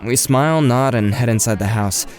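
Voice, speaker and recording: A man's voice: mid-range voice, normal speed, darkish tone, close to an everyday voice. A young man playing an earnest, awkward, wry teenage boy who narrates and talks in an audio drama. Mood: wry narrating. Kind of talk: first-person narration and dialogue in an audio drama.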